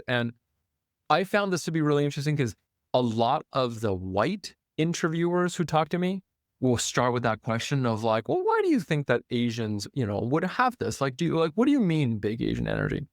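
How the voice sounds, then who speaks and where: Guy from taiwan doing a solo podcast, mid pitched voice, chatty with lots of um and you know. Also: no room sound, studio quality. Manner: casual, conversational, many fillers and restarts. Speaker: podcast host and author.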